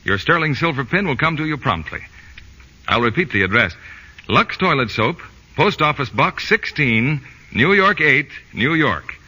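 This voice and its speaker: A man's voice: a little high, sing-song, normal speed, bright, forceful tone, breathy edge. A man announcing an advertisement in 1940s radio style. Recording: dull old radio recording, long reverb.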